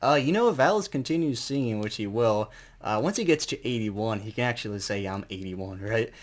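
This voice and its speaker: Low-pitched man rambling through a music review. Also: animated, fairly quick. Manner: rambling, colloquial with mild swearing; enthusiastic fan. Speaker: album reviewer. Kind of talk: casual unscripted music review.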